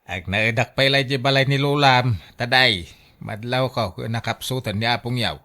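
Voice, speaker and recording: A man's voice: mid-range voice, moderate pace, sharp tone. A man acting a scheming grown son in a family radio drama, coaxing and insistent in his delivery.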